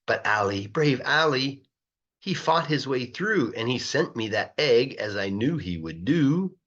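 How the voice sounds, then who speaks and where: A man narrates a rhyming children's storybook with a highly animated voice of medium pitch. His delivery rises and falls in a sing-song rhythm, with key words stretched for emphasis. The recording sounds a little dull and lo-fi. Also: unhurried. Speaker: English teacher reading a children's story aloud.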